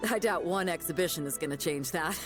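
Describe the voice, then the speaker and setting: A woman's voice: lowish voice, fairly quick, music in the background, close to an everyday voice. An actress playing a brash, easygoing female ship captain in a video game, casual, hearty and teasing. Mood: wry, modest. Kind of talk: video game character acting.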